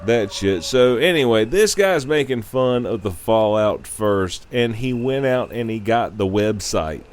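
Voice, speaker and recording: A man of average pitch hosts a radio-style show in an irreverent, sarcastic way. His delivery swings widely in pitch and takes its time, with light noise behind him. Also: expressive, a little slow. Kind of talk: casual opinionated rant.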